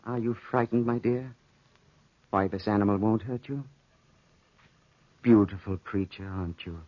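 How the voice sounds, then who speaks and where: An actor playing a cruel, teasing soldier in a theatrical 1940s radio drama style. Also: male voice, on the low side, measured pace, no room sound, natural voice. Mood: mocking. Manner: taunting, theatrical. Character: stranded soldier, cruel and teasing, fascinated by a panther. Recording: muffled vintage 1940s radio recording.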